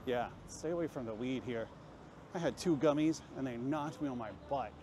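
Male travel vlogger, mid-pitched voice, self-mocking walk-and-talk, recorded outdoors with clear traffic noise. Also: normal speed. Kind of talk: casual walk-and-talk narration to camera. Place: busy city street, outdoors. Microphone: handheld camera while walking.

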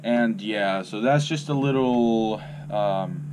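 A man's voice: on the low side, takes it slowly, noisy background. A young man talking casually to a close action camera, rambling with lots of fillers and restarts, first in his bedroom and later while driving his car. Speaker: vlogger. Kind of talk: casual vlog talk to camera. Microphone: action camera held close.

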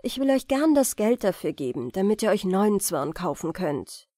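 A woman's voice: mid-range voice, sing-song, moderate pace, mellow, dry recording, studio quality. A woman voicing a polite, apologetic young mother in a fairy-tale audiobook. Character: friendly, apologetic young mother, gardener's wife.

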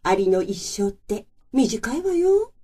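Brisk, teasing worker ant gal. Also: female voice, mid-range voice, big pitch swings, warm tone, slightly rough, full character voice. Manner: matter-of-fact, teasing. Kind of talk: radio drama acting.

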